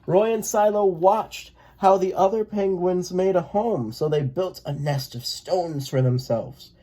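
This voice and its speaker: Slow, mellow storybook reader. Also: mid-range voice. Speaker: read-aloud storyteller. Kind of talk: children's picture book read-aloud.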